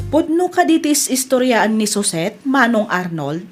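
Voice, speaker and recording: A woman's voice: on the high side, very animated, measured pace, faint music behind. A woman with an Ilocano accent voicing a sensible female friend who teases and chides in an audio drama. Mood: teasing.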